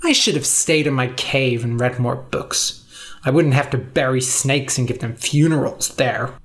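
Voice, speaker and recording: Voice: mid-range voice, normal speed, natural voice. A narrator doing a grumpy hoofed beast. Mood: sneering. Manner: grumbling, blunt. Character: grumpy hoofed creature who grumbles.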